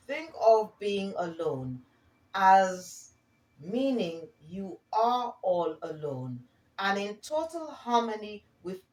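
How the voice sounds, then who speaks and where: Woman, spiritual card reader, unhurried and hesitant, roomy echo, distant microphone. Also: medium pitch, a little mellow. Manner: hesitant, halting, often checking in with okay and all right. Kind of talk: spiritual card reading, partly read aloud, partly improvised.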